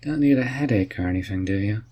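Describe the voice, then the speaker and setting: A man playing a laid-back, wry and curious male mage in a fantasy roleplay, musing and gently teasing as he talks. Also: on the low side, quick pace, close to an everyday voice. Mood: easygoing, teasing. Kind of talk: roleplay audio drama addressed to the listener.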